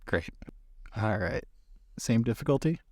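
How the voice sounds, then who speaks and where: A man's voice: medium pitch, expressive, unhurried, dry recording, studio quality. A man playing a tabletop roleplaying game, casually chatting and describing what his character does.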